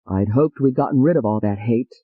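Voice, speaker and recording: A woman voicing a calm, patient male psychiatrist who speaks soothingly. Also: rumbling low, slightly rough, phone-quality sound, voice pushed down. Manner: soothing, measured.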